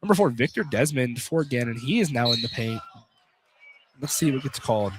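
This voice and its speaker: A man's voice: medium pitch, lively intonation, brisk. A man doing live play-by-play commentary of a college basketball game in an informal, conversational way. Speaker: play-by-play announcer. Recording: clearly noisy live radio broadcast.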